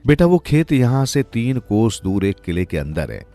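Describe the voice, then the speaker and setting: A man's voice: mid-range voice, sing-song, moderate pace, mellow, faint music behind. A man voicing a weary, poor old village woman with lost magic in a storybook tale. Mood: weary, sorrowful. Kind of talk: storybook character dialogue.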